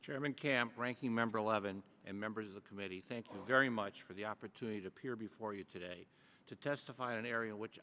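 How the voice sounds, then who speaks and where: An older man from Michigan, a tax lawyer giving measured, formal and courteous testimony before a committee in a large hearing room. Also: on the low side, bright, forceful tone, big-room sound, a little hiss or hum. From Michigan, USA.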